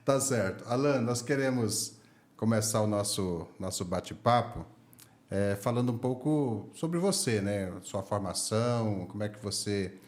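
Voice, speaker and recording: A man's voice: on the low side, measured pace, a little mellow. A Brazilian man hosting a podcast interview, chatty and friendly, laughing easily with his guest. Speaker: podcast host. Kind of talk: casual interview chat. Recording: echoey room.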